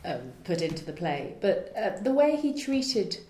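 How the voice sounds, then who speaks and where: A woman's voice: medium pitch, big pitch swings, unhurried, mellow, roomy sound, a little hiss or hum. A woman playwright answering interview questions earnestly, searching for her words and laughing lightly now and then.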